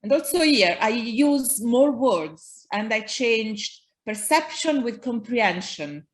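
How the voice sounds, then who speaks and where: A woman's voice: on the high side, lively intonation, slow pace. A woman whose first language is Italian, a guest poet talking about translating her own work. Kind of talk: reading her poems and discussing translation.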